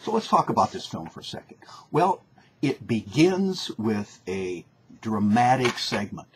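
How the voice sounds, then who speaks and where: A man's voice: on the low side, very animated, measured pace. A man lecturing informally, explaining in a conversational, didactic way and often rephrasing for emphasis. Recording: faint background noise.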